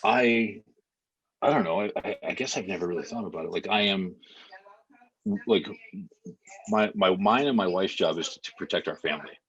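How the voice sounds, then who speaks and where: A man as a podcast guest, talking casually and telling a story in a halting, rambling way, with many fillers and restarts. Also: low-pitched, fairly bright tone. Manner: plain, matter-of-fact storytelling. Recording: slightly muffled lo-fi podcast audio.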